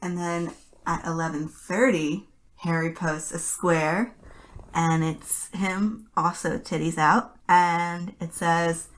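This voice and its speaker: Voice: mid-range voice, steady tone, takes it slowly, fairly bright tone. A podcast host chatting casually and enthusiastically, in a gushing fan style.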